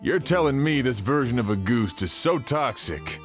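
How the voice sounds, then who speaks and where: A man's voice: medium pitch, expressive, moderate pace, faint music behind, natural voice. An actor voicing a blunt male official in a game who questions the explanation and organises his men. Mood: doubtful. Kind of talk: game voice acting.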